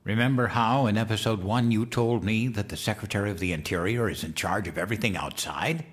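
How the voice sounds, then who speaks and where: An actor playing a childlike, eager, guileless president-elect in a comedic audio drama. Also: male voice, lowish voice, brisk, close to an everyday voice. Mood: earnest, cheerful. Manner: guileless, simple-minded, earnest talk.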